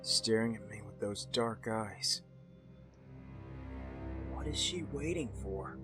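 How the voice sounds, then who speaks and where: A man voicing a young, brooding angel soldier in a visual novel, speaking in a measured monotone. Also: fairly low pitch, music in the background. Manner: flat, monotone, measured delivery. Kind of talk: scripted visual novel narration and dialogue.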